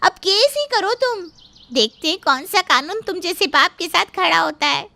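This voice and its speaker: A woman acting a shrill, feisty young mother-to-be. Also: animated, normal speed, gravelly, pushed and bright, slight background noise, heavily acted. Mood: resolute. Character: defiant young unwed mother-to-be, hurt and feisty. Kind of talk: dramatized Hindi moral story dialogue.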